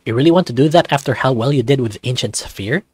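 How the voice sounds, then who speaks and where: A man's voice: medium pitch, fast, darkish tone, husky, no echo, natural voice. Devin, a man doing a teasing young student. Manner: dry, teasing remarks.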